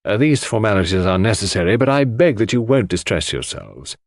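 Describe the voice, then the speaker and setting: A man voicing a self-important, brisk doctor, officious and formally polite, in an audiobook mystery. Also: lowish voice, animated, no room sound, studio quality. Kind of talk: dramatic audiobook mystery reading.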